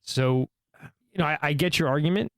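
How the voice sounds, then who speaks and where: A man co-hosting a podcast, laying out a counterpoint with quick, detailed explanations. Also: a little high, fairly bright tone, no room sound, studio quality. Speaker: podcast co-host. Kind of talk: casual discussion.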